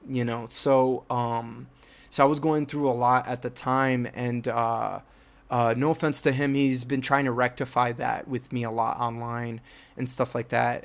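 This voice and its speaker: A young man from New Jersey telling a rambling personal story about his filmmaking, with many fillers and restarts. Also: mid-range voice. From United States (New Jersey). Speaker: filmmaker and channel host.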